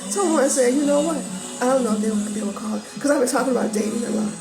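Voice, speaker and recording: A woman's voice: on the high side, rapid-fire. A woman raised in Georgia with a Southern accent, chatting casually on her vlog and rambling with lots of restarts. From born in Maryland, raised in Georgia. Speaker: vlogger. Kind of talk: casual chat to camera. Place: visiting a home in California. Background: music playing in the background.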